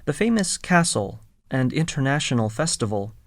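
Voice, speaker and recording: Male textbook narrator, clear diction, dry close studio sound. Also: medium pitch, normal speed, no echo. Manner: even, clearly enunciated. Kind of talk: scripted textbook listening exercise reading.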